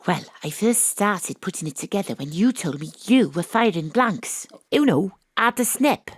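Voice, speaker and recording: A woman with a Welsh accent playing a brash, wry, tipsy private detective in an audio drama, deadpan on a close microphone. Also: medium pitch, normal speed, breathy edge, no echo. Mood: blunt, confiding. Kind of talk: audio drama acting with first-person narration. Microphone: close home studio microphone.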